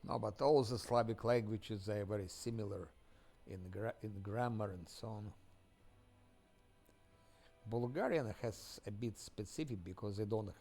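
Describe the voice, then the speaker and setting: A man from Ukraine, a game streamer giving casual live commentary, often halting as he searches for words in English. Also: on the low side, a little mellow, faint music behind.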